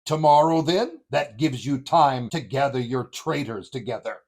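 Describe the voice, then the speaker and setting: A man's voice: medium pitch, normal speed, a little mellow, close to an everyday voice. An actor playing a confident, proud army commander who becomes king, in a Bible audio drama, speaking in an assured way. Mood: confident, scornful.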